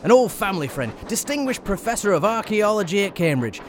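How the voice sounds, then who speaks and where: Courteous captain, eager, hesitant, lively intonation, radio drama, clear engine noise behind. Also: male voice, high-pitched, brisk. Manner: polite, slightly flustered, hesitant. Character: earnest, eager English captain, courteous host's aide.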